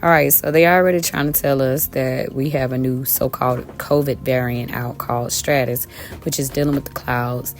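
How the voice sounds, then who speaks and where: Woman, raspy, a bit hoarse, low-pitched, casual Bible teacher, talks to viewers as y'all, faint music underneath. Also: steady tone, moderate pace. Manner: talks directly to viewers as y'all, with many um fillers. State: a little hoarse. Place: at home with her children around.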